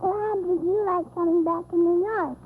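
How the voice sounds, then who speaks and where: A man's voice: extremely high pitch, steady tone, moderate pace, slight background noise, pitched above the performer's own voice. A man voicing a cheeky, innocent, literal-minded little girl in a 1930s radio comedy act, full of naive questions. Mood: innocent, inquisitive. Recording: tinny 1930s radio recording, music.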